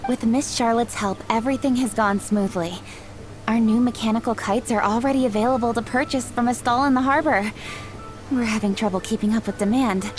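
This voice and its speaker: A woman's voice: a little high, natural voice. A woman voicing a poised, courteous female official in an anime game, formal and articulate.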